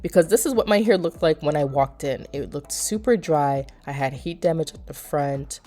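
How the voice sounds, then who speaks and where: Young woman with a low voice, a little stuffy from a cold, chatting to her viewers, soft music behind. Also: expressive, moderate pace. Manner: chatty, explanatory, addresses viewers as you guys. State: slightly nasal from a cold. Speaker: beauty vlogger. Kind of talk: casual vlog voice-over.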